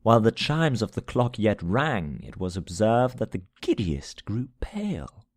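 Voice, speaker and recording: Low-voiced man solemnly narrating gothic horror. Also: darkish tone, no echo, clean studio sound. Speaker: amateur reader of a short story. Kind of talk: dramatic scripted narration of a gothic horror tale.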